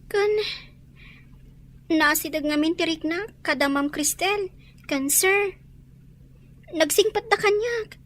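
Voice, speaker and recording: A woman acting as a meek young servant girl with a high voice. She is polite and downtrodden, in audio drama style. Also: slow, long pauses, fairly bright tone, exaggerated voice.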